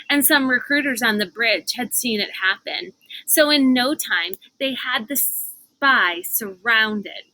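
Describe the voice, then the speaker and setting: Woman voicing a smug, gossipy girl bully, bright and forceful. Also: on the high side, no echo, pitched above the performer's own voice, heavily acted, low-quality recording. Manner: brash, chatty, self-satisfied. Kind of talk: storybook character voice.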